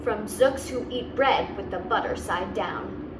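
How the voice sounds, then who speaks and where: A woman's voice: medium pitch, unhurried, natural voice. A woman voicing an earnest, proud old grandpa soldier in a children's storybook, with stern emphasis. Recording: roomy, distant mic, clear background noise.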